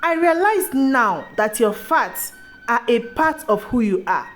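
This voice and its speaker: A woman's voice: fairly high pitch, very animated, unhurried, fairly bright tone, faint music behind. A woman voicing a proud, charming young village man in a dramatic storybook tale. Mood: pleading.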